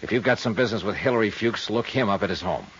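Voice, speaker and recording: An actor playing a world-weary, hard-boiled investigator, terse and wry, in 1950s radio noir. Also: male voice, fairly low pitch, lively intonation, quick pace, breathy edge. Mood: tough, curt. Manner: terse, dry, wry tough-guy delivery. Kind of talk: 1950s radio noir acting and first-person narration. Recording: muffled 1950s radio recording with music.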